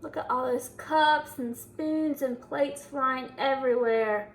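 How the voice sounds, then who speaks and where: A woman's voice: high voice, takes it slowly, a little hiss or hum, poor audio. A woman reading a picture book aloud to children like a teacher, commenting and prompting them with questions.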